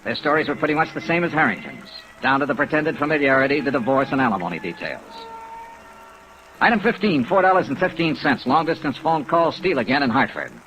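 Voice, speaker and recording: A man's voice: a little high, very animated, fast, fairly bright tone. A man acting as a wry, patient insurance investigator in a 1950s radio drama, clipped and dry in his delivery. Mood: dry, matter-of-fact. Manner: clipped, dry, hard-boiled. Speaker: lead actor, narrator. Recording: noisy vintage 1950s radio recording.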